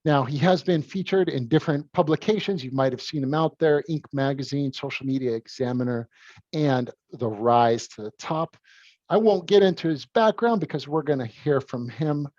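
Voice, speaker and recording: A man's voice: on the high side, moderate pace, darkish tone, dry recording, highs cut off. A man hosting a podcast, introducing and questioning his guest in a laid-back, friendly way. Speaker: podcast host. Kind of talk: casual interview.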